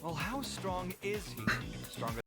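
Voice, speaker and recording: A man voicing a chatty, expressive young man in a video game, with a theatrical, talkative manner. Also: sits high, a little slow, exaggerated voice. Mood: animated, incredulous. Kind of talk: video game character voice acting. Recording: clear music behind the voice.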